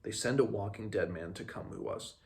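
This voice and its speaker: A man's voice: lowish voice, a little fast, gravelly, a little mellow. A man reading the part of a stern, exasperated warrior prince from a fantasy novel, with a questioning manner. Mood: puzzled.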